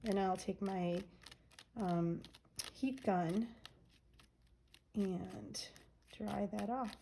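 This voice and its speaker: Brief: woman, nasal, unhurried crafting vlogger talking through each step, faint hiss behind. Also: mid-range voice, gravelly, mellow. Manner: chatty, narrates each step while working. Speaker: craft demonstrator. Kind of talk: casual crafting demo.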